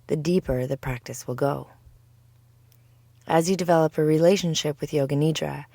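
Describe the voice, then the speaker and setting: A woman teaching yoga nidra, narrating a guided meditation in a soothing, deliberate, measured way. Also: sits low, mellow, no room sound.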